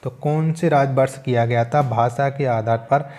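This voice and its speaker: A young adult man teaching an exam coaching lesson, reading each question, asking it back and giving the answer in a steady, repetitive manner. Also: medium pitch, moderate pace. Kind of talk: quiz answer walkthrough lesson.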